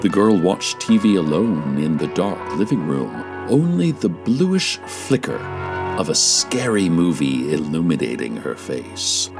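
A man playing a theatrical radio host who delivers spooky monologues in an expressive, deliberate manner. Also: sits low, music in the background. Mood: hushed, suspenseful. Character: spooky radio show host, chatty, theatrical, naively unbothered.

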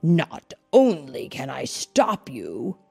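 Lady doing a gruff slow echidna hero guy, angry n determined, husky storybook voice, faint music behind. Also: rumbling low, wildly swooping pitch, dark tone, voice pushed down, exaggerated voice. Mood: furious.

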